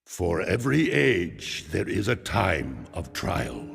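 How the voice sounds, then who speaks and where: A man playing a wise, aged sage, narrating a game prologue in a solemn, gravelly, measured voice. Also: sits low, sing-song, heavily acted. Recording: loud orchestral music behind.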